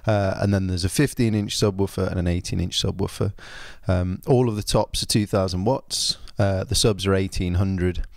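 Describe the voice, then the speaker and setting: Low-voiced man reviewing gear. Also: steady tone, normal speed, gravelly, hardly any pauses, darkish tone, dry recording, studio quality. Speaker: product reviewer. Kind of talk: informal spoken product review.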